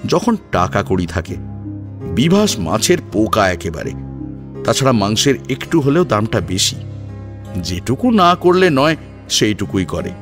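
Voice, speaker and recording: A man narrating a scripted family drama as an audio story. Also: on the low side.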